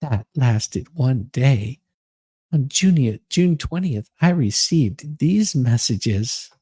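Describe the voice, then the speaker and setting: Man reading as a husky, aggrieved woman. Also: medium pitch, lively intonation, extremely slow, dark tone, dry recording, heavily acted. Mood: aggrieved, recounting. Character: aggrieved, self-righteous woman reading her written account. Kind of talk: dramatic reading.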